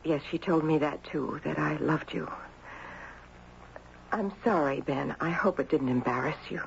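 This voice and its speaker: An actress playing a guilt-ridden, grieving middle-aged mother in halting, confessional 1970s radio drama style. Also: low-pitched, creaky. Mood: anxious, embarrassed. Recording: muffled, noisy 1970s radio recording.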